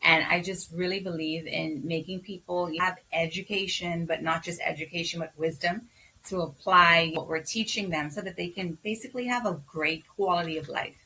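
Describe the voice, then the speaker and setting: A woman from the Bahamas with a Bahamian accent, a physician guest talking casually and lively in an interview, with many ums and repeated words. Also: lowish voice, moderate pace, slight background noise, rough recording.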